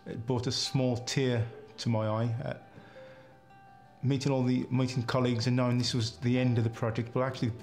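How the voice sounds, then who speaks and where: A man's voice: medium pitch, little pitch movement, fairly quick, a little mellow, soft background music. A man in his fifties from the United Kingdom giving a reflective interview answer in a small office.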